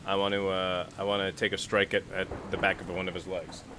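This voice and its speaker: A man's voice: deep voice, little pitch movement, a little fast. A man playing a tabletop game, chatting casually and thinking out his moves aloud with frequent restarts. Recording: clear background noise.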